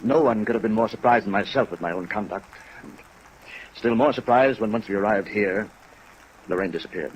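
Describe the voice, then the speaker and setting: A rueful male company president in a vintage radio drama, acted by a man. He is formal and careful, with a low voice and small hesitations. Faint rain lies behind him. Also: brisk, pauses often, lightly acted, rough recording. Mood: rueful, reticent. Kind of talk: 1950s radio drama acting. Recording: vintage radio recording under rain noise. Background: steady rain sounds.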